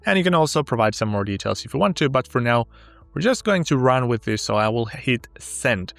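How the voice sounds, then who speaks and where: A man whose first language is Finnish, upbeat and walking step by step through a sponsored software tutorial. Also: mid-range voice, big pitch swings, fairly quick, creaky, dry recording. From Finland. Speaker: tech tutorial host.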